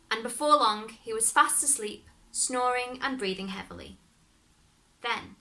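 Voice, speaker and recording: A woman reading a fairy tale aloud as expressive scripted narration, measured and dramatic. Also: medium pitch, rough recording. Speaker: story reader, performer.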